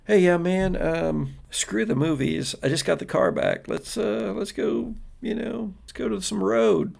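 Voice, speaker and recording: A man acts out a cocky young 1950s hot-rod racer for a roleplaying game. He talks in casual slang with plenty of swagger, his voice pushed up and creaky. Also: high-pitched, measured pace, darkish tone, voice pushed up, full character voice. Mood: cocky, eager.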